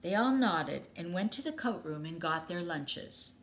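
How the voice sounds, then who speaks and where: Unhurried woman reading a children's story. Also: fairly low pitch, lively intonation. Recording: clearly noisy background.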